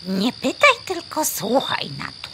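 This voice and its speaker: A woman playing a sharp-tongued, exasperated hen in a children's audio play, scolding sarcastically with bursts of clucking. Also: a little high, sing-song, unhurried, noisy background. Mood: sarcastic.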